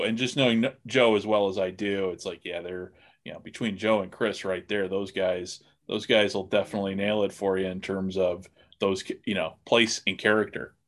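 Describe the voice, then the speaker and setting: A man hosting a podcast and chatting casually as he interviews guests. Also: fairly low pitch. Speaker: podcast host interviewing producers. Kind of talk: casual conversation.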